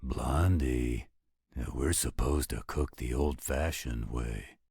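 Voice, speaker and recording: A man playing a gruff, teasing werewolf with a husky voice in a roleplay audio drama. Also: slow, a little mellow, no echo, studio quality, full character voice. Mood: playful, flirty. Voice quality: very deep, husky. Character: gruff, teasing male werewolf with a laid-back streak.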